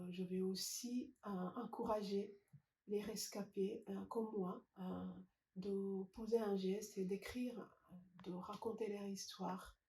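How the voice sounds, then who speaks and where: A middle-aged woman from Rwanda, an author giving a personal testimonial in a hesitant, measured, sincere way. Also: mid-range voice, dark tone. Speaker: author presenting her book. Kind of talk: unscripted personal testimonial to camera. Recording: roomy echo, faint background noise.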